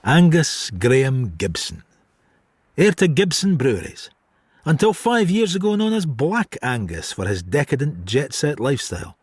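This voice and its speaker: A man's voice: mid-range voice, wildly swooping pitch, moderate pace, breathy edge, no echo. An actor playing a brooding, sardonic detective, narrating his case in a radio drama.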